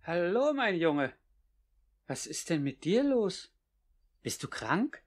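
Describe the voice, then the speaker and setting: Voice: medium pitch, sing-song, takes it slowly, faint music behind. An actor playing a cocky, friendly young male vagabond in a 1950s German radio play, talking in a casual, breezy way. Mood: curious, casual.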